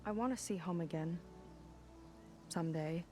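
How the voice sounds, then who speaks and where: An actress playing a young, wry, plainspoken and loyal sailor in a video game cutscene, with a natural delivery. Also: female voice, lowish voice, moderate pace, music in the background, natural voice. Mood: reflective, uncertain.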